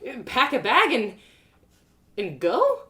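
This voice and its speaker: An eager, naive young farm woman from a storybook, played by a woman reader. Her voice jumps high and swoops widely, with breathless stammers and a yearning for adventure. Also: wildly swooping pitch, slow, bright, forceful tone, full character voice. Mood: nervous excitement.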